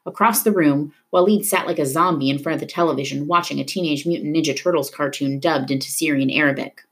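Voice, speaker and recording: A woman reading a novel aloud in an expressive storytelling style, dramatic at tense moments. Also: sits low, fast. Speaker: reader of a children's novel. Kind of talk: expressive read-aloud narration of a book.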